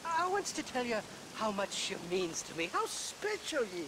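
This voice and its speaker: A man's voice: very high-pitched, huge pitch swings, moderate pace, background noise, heavily acted. An actor playing a drunken, evasive, sentimental Irish man in a video game, slurring and rambling. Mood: drunkenly friendly. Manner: rambling, muddled, wheedling. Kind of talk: video game cutscene acting.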